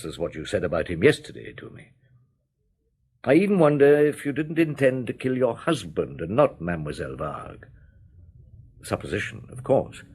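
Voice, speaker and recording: An actor playing a middle-aged French chief inspector in a radio drama, measured and quietly insistent. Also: male voice, on the low side, slightly rough. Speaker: detective. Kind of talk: 1970s BBC radio drama acting.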